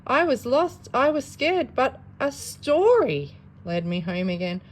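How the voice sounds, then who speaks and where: A woman's voice: high-pitched, very animated, measured pace, a little hiss or hum, pitched above the performer's own voice. A woman voicing a small, earnest boy fish who spins tall tales in an eager storybook read-aloud. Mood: apologetic, eager.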